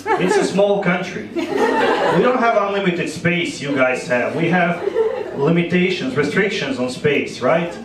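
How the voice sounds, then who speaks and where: A man in his thirties from Croatia with a Croatian accent, doing an animated, playful stand-up set on a club stage with a handheld mic. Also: sits high, distant mic, very noisy. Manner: animated, playful storytelling with quick asides. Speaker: stand-up comedian. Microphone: handheld microphone. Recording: echoey club, audience laughter. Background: audience laughter.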